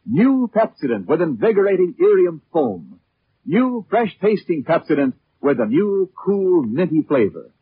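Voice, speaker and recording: A male American announcer from 1940s radio with a highish, slightly rough voice. He sells emphatically and with polish, his pitch swinging freely, over a tinny old broadcast sound. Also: very animated, unhurried, no room sound. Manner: emphatic, polished sales delivery. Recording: tinny narrow vintage radio recording.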